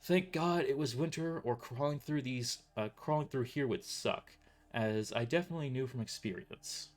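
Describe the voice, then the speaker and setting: A man's voice: medium pitch, normal speed, faint music behind. A man reading a first-person story as a dramatic narrator, occasionally stumbling over his words. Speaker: author narrating own novel.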